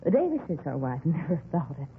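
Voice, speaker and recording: An actress playing a gossipy, nosy apartment manager in a 1950s radio drama, chatty and rambling with folksy grammar. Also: female voice, sits low, sing-song, brisk. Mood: gossipy, disapproving. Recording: scratchy, tinny 1950s radio recording.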